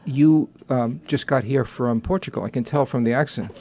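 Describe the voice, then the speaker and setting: Gravelly-voiced male talk show host. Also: medium pitch, a little mellow. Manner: halting, many fillers and restarts. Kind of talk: casual interview.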